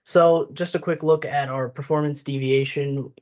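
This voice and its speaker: A man's voice: on the high side, steady tone, normal speed, darkish tone. Man presenting, dull lo-fi audio. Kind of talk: prepared business presentation.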